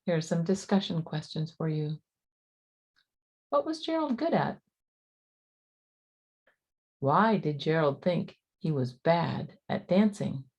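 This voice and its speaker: Expressive, slow-paced woman reading a storybook. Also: low-pitched. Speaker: storybook reader. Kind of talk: expressive read-aloud of rhyming children's story.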